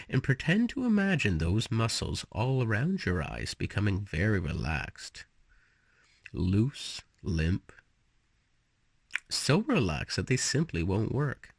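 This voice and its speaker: A man leading a guided relaxation meditation with storytelling, speaking slowly and soothingly in an instructive way with pauses. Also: lowish voice, creaky, husky, no echo, clean studio sound.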